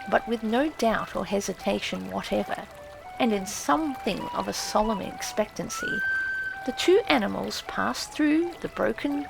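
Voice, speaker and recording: A woman narrating a literary audiobook in a solemn, expressive, dramatic storytelling manner. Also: on the high side, vocal fry, poor audio. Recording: prominent music under the voice. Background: music and effects.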